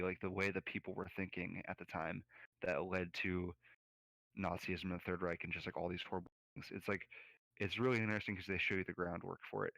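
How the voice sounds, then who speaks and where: Low-voiced rambling podcast man. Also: creaky, bright, forceful tone, no room sound, low-quality recording. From United States. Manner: rambling, lots of fillers. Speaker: podcast co-host. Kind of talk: casual podcast chat.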